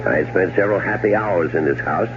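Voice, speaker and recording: An actor in a 1970s radio drama playing a fastidious, murderous husband who narrates with dry, precise urbanity. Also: male voice, deep voice, noisy background. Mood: cool, controlled. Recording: muffled old radio broadcast recording.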